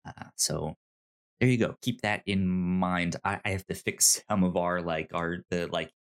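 A man's voice: low-pitched, fairly even intonation, a little slow. A man hosting a podcast, chatting in an upbeat, informal way. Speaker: podcast host. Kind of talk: casual podcast chat and housekeeping plugs.

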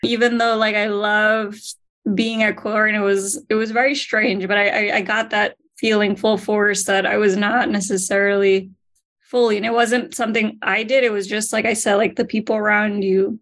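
A woman from the United States chatting as a podcast co-host, telling stories quickly with frequent fillers. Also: medium pitch. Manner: rapid, animated storytelling with many fillers and laughs. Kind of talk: casual chat.

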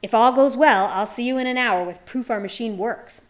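A woman voicing an eager, feisty female scientist in a radio play, speaking in an emphatic, brisk way. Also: a little high, pitched above the performer's own voice, close to an everyday voice. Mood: eager, playful.

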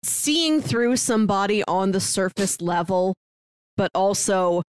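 A woman's voice: medium pitch, expressive, a little slow, smooth, no room sound. American woman chatting in a dry-sounding room. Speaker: podcast co-host. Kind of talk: casual movie review chat.

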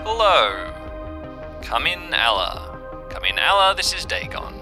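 A man voicing a cryptic, formal stranger in an audio drama, speaking in slow, measured phrases. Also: on the high side, very animated, gravelly, over music, voice pushed up, full character voice. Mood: eerie, polite. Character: cryptic, formal mysterious contact speaking over a crackly radio.

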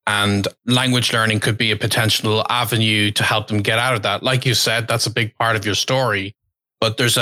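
A man's voice: medium pitch, sharp tone, no echo. A man hosting a podcast, welcoming a guest and asking conversational questions. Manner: friendly, conversational questions with fillers. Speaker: podcast host. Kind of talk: podcast interview.

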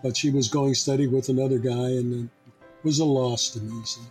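Medium-pitched man recalling memories plainly. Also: steady tone, fairly quick, darkish tone, faint music behind, poor audio. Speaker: discussion participant. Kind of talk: personal reminiscence.

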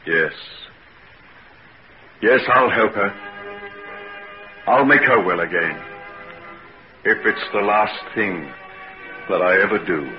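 An actor playing a kindly, earnest doctor with a gentle, reassuring manner, in 1940s radio drama style. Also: male voice, lowish voice, slow, long pauses, over music. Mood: solemn resolve. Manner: gentle, reassuring, solemnly determined. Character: earnest, kindly male doctor soothing a child patient. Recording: muffled, noisy 1940s radio recording.

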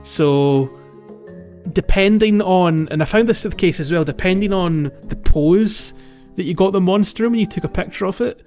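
Guy with highish voice, casual podcast chat, bit muffled lo-fi audio. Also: moderate pace, darkish tone. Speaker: podcast co-host. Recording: dull lo-fi audio, faint music.

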